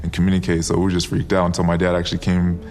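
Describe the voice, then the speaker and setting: A man's voice: low-pitched, completely flat delivery, racing, a little mellow, long reverb tail, faint music behind. A young man from Liberia who moved to the US as a child, a college athlete talking casually in a documentary interview. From born in Liberia, moved to the US at five. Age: young adult. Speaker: college football player.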